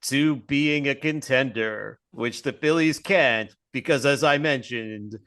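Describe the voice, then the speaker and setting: A man's voice: medium pitch, slow pace, fairly bright tone. A man co-hosting a podcast, joking wryly in the style of a mock sports report. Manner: wry, joking. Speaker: podcast co-host.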